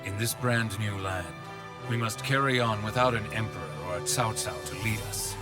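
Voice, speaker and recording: An actor playing a stern, loyal warrior general in a video game, speaking in a solemn, declamatory way. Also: male voice, lowish voice, moderate pace, over loud music, close to an everyday voice. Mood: grave, reflective. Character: stern, loyal one-eyed warrior general, grave and resolute. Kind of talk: dramatic video game voice acting.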